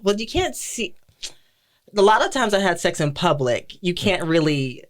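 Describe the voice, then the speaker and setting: A man on a podcast panel, telling candid stories in a relaxed, chatty way with frequent laughter. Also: high-pitched, normal speed. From Detroit area. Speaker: podcast guest. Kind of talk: casual chat.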